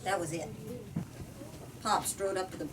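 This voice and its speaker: Female storyteller, dramatic and emphatic host reading excerpts; distant pickup, clear room noise. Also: fairly low pitch, steady tone, a little slow. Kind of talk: expressive storybook read-aloud with discussion. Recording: distant mic, noisy room ambience.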